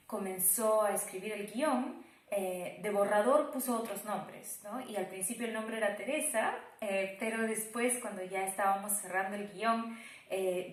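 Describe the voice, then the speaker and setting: A woman explaining a creative project conversationally, thoughtful and often correcting herself as she goes. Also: medium pitch. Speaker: production team member. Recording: echoey room.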